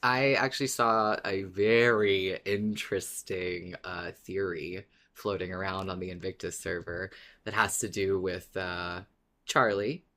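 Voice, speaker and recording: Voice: low voice, fairly even intonation, unhurried, fairly bright tone. A podcast co-host in a casual fan discussion, talking conversationally with the odd chuckle.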